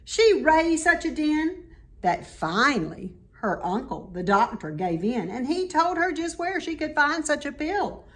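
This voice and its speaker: A woman's voice: sits high, big pitch swings, unhurried, fairly bright tone. A woman reading a rhyming children's story aloud with dramatic, emphatic expression. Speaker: storybook reader.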